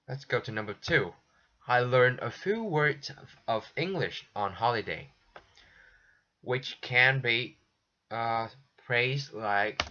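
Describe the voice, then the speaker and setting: A man's voice: mid-range voice, pushed and bright. A man going through textbook exercises as an English teacher, patiently, with frequent restarts. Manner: patient, slow, restarts often. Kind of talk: recorded textbook lesson walkthrough. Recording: dull lo-fi sound.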